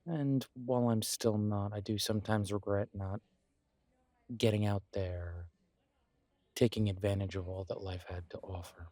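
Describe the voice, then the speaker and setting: A man playing a friendly, reassuring homebody best friend in an intimate one-sided roleplay audio, talking casually in halting, gently encouraging phrases. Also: lowish voice, steady tone, no room sound, close to an everyday voice. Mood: gentle, reassuring.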